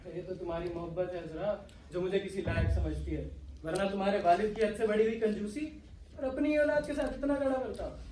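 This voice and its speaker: A man's voice: high-pitched, a little fast, dark tone, lightly acted. Man playing ardent young servant lover, echoey stage. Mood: earnest. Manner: earnest, declamatory, florid romantic delivery. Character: ardent young male servant in love, pleading and scheming. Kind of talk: Hindi-Urdu stage play acting. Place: stage of a theatre hall. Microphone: far from the actors. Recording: echoey hall, distant mic, faint noise.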